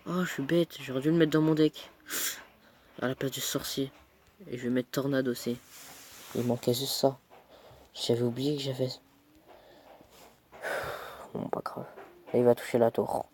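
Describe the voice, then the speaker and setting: A man's voice: medium pitch, fairly even intonation, moderate pace, pauses often, darkish tone, slight background noise. A young man with a cold, casually commentating his own mobile game match, chatty and self-mocking, muttering as he plays. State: stuffy with a cold.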